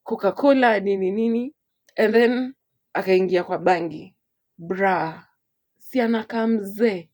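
A Kenyan woman co-hosting a podcast in casual, lively chat, laughing often as she talks. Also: medium pitch, a little slow. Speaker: podcast co-host. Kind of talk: casual chat, code-switching.

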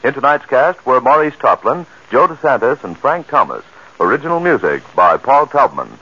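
Vintage male announcer, crisp forceful tone, formal scripted delivery, lo-fi old radio sound. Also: mid-range voice, sing-song, normal speed. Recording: dull lo-fi old radio recording.

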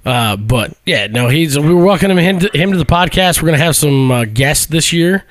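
An American man co-hosting a sports podcast in casual banter, tipsy, excitable, rambling and swearing freely. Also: a little high, normal speed. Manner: boisterous, profane, rambling, excitable. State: tipsy after hours of drinking. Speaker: podcast co-host.

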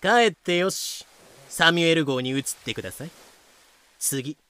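A man's voice: a little high, bright, forceful tone, clear, resonant tone. A man playing a young pirate captain, polite and businesslike yet coolly ruthless, in a fan-made voice drama. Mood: brisk, businesslike. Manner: brisk, businesslike polite speech. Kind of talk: fan-made anime-style voice drama with narration.

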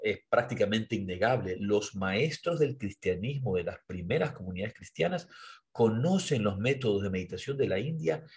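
A low-voiced man, a husky edge to his speech. He talks in an earnest, sermon-like way on a podcast, restarting phrases as he searches for words. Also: expressive, normal speed. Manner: earnest, preachy, repeats and restarts words while thinking. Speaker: podcast co-host. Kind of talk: reflective podcast conversation.

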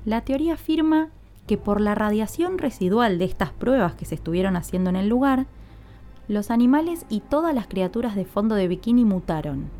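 A woman's voice: a little high, mellow. A woman narrating a scripted podcast in an explanatory way, speaking directly to listeners. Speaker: podcast host.

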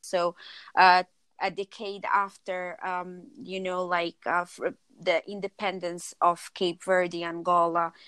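A woman's voice: fairly low pitch, flat delivery, slow, fairly bright tone, clean tone, dry recording. A woman in her thirties from Portugal, a podcast guest telling her life story in an earnest way, often stopping to search for words. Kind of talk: personal storytelling in conversation.

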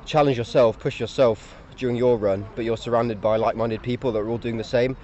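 A man's voice: medium pitch, fairly even intonation, a little fast, a little mellow. A man speaking enthusiastically and fluently. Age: 20s. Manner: enthusiastic, articulate. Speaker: parkrun runner interviewee. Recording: dull lo-fi sound.

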